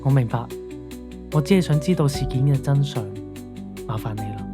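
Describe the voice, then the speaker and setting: A sombre male investigator played by a man speaks in a radio drama. His delivery is grave and reflective at a medium pitch, with clear music behind him. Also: normal speed, lightly acted. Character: sombre, reflective police investigator seeking the truth.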